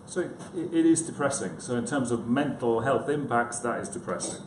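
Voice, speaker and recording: A man's voice: mid-range voice, animated, moderate pace. A man giving an informal conference talk, hesitant and self-deprecating, with chatty asides to his listeners. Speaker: conference speaker, psychiatrist. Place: conference room, indoors. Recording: echoey room, clearly noisy background.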